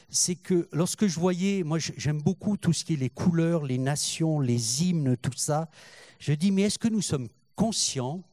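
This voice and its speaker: An older man preaching a sermon in French, halting and repeating words, rising in fervor as he goes. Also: a little high, dark tone, dry recording. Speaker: pastor.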